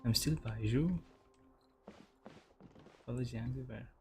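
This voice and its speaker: A man giving casual, low-key reaction commentary in short musing remarks while playing a game. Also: takes it slowly. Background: game music.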